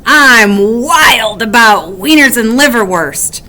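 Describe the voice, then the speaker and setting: Woman voicing a gleeful, bright-voiced greedy wolf. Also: fairly high pitch, animated, slow, heavily acted. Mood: delighted. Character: excitable, greedy male wolf, swaggering storybook rascal.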